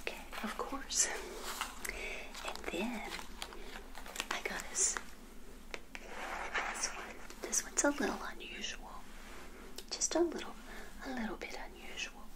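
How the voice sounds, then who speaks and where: Friendly witch, played by an older woman, ASMR roleplay: soft whisper, slow delivery, gentle and eager. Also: fairly high pitch, very animated. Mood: welcoming. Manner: gentle, unhurried, repeats phrases softly. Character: friendly, eager, naive lonely witch serving tea.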